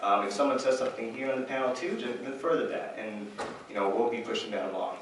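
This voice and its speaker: A man's voice: medium pitch, quick pace. A man moderating a panel at a live event, speaking loosely with lots of fillers and tag questions. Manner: loose, filler-heavy, says "right?". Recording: echoey hall, far from the mic, faint noise.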